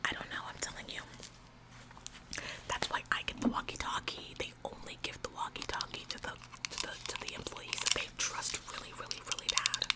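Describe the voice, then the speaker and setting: A woman's voice: brisk, natural voice, low-quality recording. Whispering, self-important female store employee, acted by a woman. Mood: indignant, smug. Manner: earnest, self-important, stammering repeats. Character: bossy, self-important young female retail employee, tattling and officious. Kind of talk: whispered ASMR roleplay.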